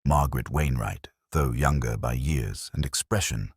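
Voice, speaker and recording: A man playing a world-weary older detective inspector, narrating a mystery audio drama in a measured, literary, brooding manner. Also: extremely low pitch, creaky, breathy edge, no echo, clean studio sound, exaggerated voice.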